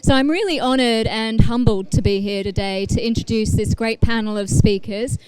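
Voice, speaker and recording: A woman's voice: fairly high pitch, moderate pace, darkish tone, smooth, dry recording, faint music behind, low-quality recording. A woman from Australia with a faintly British-tinged Australian accent, moderating a conference panel, polite and full of ums and restarts. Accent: Australian with a slight British tinge.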